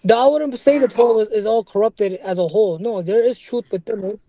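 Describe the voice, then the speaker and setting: Young male debater with a high voice and dry sound; earnest and casual, talks in restarts and keeps asking "right?". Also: a little fast, creaky, dark tone, dry recording, rough recording. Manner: casual slang, calls listener "bro".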